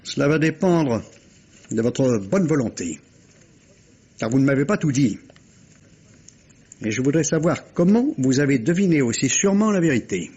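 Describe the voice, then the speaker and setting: Shrewd police inspector, dull vintage recording. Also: male voice, medium pitch, very animated, darkish tone, breathy edge. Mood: stern, sardonic. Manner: deliberate, questioning, ironic. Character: shrewd, authoritative male police inspector, dryly sardonic. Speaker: actor. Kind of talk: 1950s French radio mystery acting.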